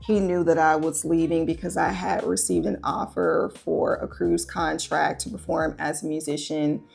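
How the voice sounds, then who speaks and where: A woman's voice: low voice, level tone, normal speed, gravelly, darkish tone, soft background music. A woman relationship coach telling a personal story in a conversational, confessional way, often putting questions to her listeners.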